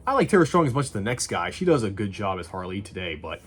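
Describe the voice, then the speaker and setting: A man's voice: on the low side, very animated, fast talker, husky. A man giving a casual scripted review commentary, with sarcastic dry humour and some swearing. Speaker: video game movie reviewer. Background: faint music.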